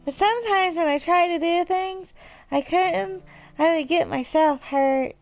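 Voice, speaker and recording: A woman voicing a sweet, clumsy, sentimental teenage girl in a visual novel read-aloud, with soft, earnest delivery. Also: extremely high pitch, measured pace, no room sound, soft background music, pitched above the performer's own voice, low-quality recording. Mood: wistful, tender.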